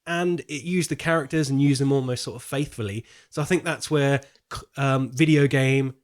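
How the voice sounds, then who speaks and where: A man's voice: medium pitch, moderate pace. A man co-hosting a film podcast, talking in an informal, slangy, conversational way. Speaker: podcast co-host. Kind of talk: casual film podcast chat.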